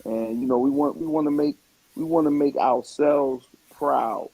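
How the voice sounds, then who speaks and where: A man chatting casually in an interview, rambling in an animated way and repeating words for emphasis. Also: medium pitch, unhurried, creaky, husky, poor audio. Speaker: stand-up comedian and stage play actor.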